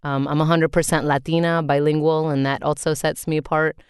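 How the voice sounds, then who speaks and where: A woman voice actor with a deep voice, reading her own written answers aloud in an earnest way, with small asides. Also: little pitch movement, brisk, smooth, no room sound, clean studio sound.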